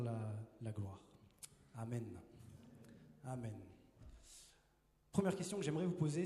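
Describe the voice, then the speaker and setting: A man's voice: mid-range voice, mellow. Playful young man preaching in a hall. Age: 20s. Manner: playful, chatty, asks the crowd questions. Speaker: youth preacher. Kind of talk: casual joking sermon to a youth crowd. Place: large hall with a live audience. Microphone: handheld mic. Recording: roomy hall reverb.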